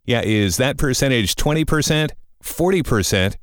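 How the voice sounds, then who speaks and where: A man's voice: medium pitch, animated, moderate pace, smooth, no echo. A man hosting a morning-radio style podcast with a wry, joking, conversational delivery. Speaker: podcast host. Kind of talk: morning-radio show banter and quips. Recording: dry studio with music bed.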